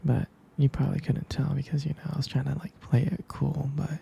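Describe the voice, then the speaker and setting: A man playing a jealous, devoted boyfriend in an ASMR roleplay, speaking intimately and haltingly in a breathy, husky whisper. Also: on the high side, level tone, warm tone, slight background noise. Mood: tender, soft. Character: jealous, possessive, devoted boyfriend soothing his sweetheart.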